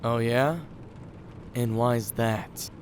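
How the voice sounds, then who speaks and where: A man's voice: medium pitch, steady tone, extremely slow, slight background noise. A man playing a cocky, sneering teenage schoolboy bully in an audio drama, with a taunting, mocking manner. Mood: menacing.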